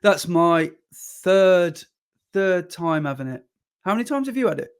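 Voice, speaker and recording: A man with a fairly high voice co-hosts a podcast. He sounds hoarse and a little deeper than normal while he is ill, and his chat stays jokey and animated. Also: unhurried. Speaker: podcast co-host. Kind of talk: casual chat.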